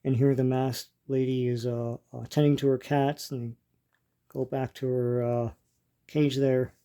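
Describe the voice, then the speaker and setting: An older man with a dark, relaxed voice at a middling pitch talks through what he is showing. His delivery is halting and informal, like someone thinking aloud as he hosts a casual show-and-tell. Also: clear, resonant tone. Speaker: host leafing through vintage comic strips.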